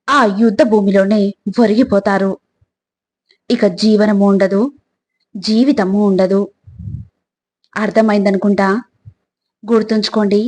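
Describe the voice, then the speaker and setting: A woman reading scripted motivational narration in short, deliberate phrases, often turning to the listener. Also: medium pitch.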